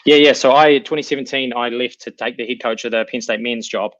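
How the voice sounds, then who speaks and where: A man from New Zealand with a New Zealand accent, an assistant coach answering interview questions in a relaxed, thoughtful way. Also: medium pitch, brisk, no echo, highs cut off. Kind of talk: remote interview.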